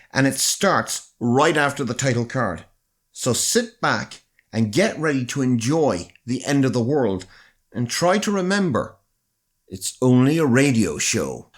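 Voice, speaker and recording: A man hosting a review show, delivering scripted presenter narration. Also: mid-range voice. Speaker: host of a radio drama review show.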